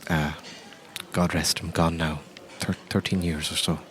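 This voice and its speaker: A man voicing a grieving, chatty old pub landlady of about ninety in a tabletop game, halting and rambling. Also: sits low, little pitch movement, fairly bright tone, pitched below the performer's own voice. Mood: folksy, chatty.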